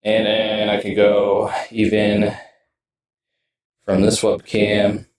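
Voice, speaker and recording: A man giving casual, rambling streamer talk, halting and often trailing off mid-sentence. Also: on the low side, monotone, a little mellow, slight background noise. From United States. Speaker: video game streamer. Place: indoors in his streaming room setup. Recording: roomy echo, distant mic, music behind.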